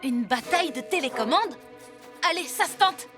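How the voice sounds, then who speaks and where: A take-charge little boy superhero from a cartoon, voiced by a woman, very high-pitched and decisive. He calls out countdowns and orders to his team. Loud cartoon music fills the background. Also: animated, moderate pace. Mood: commanding. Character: confident six-year-old boy cat superhero, team leader. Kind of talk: French cartoon dub.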